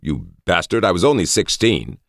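A man's voice: deep voice, very animated, moderate pace, vocal fry, fairly bright tone, no room sound, studio quality, pitched above the performer's own voice, heavily acted. A man voicing a flamboyant lord in an audiobook, a jovial friend given to theatrical, exclamatory mock outrage. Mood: wounded protest. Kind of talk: audiobook character voice.

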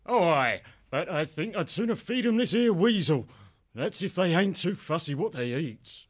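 A man's voice: high voice, expressive, normal speed. A man voicing a tough, folksy male hare who is a boxing sergeant. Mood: taunting. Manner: rough folksy speech, taunting.

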